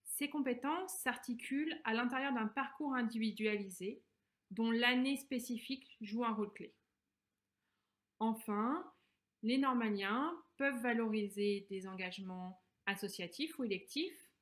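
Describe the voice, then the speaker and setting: Woman, slightly high, reading a scripted presentation. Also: measured pace, a bit bright.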